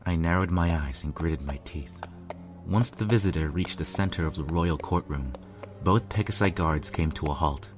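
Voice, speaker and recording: A man's voice: rumbling low, moderate pace. A man narrating a fan fiction story as a lovelorn pony royal guard, grave and dramatic. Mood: tense. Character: male pony royal guard telling his lovelorn tale. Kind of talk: scripted first-person story narration. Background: music.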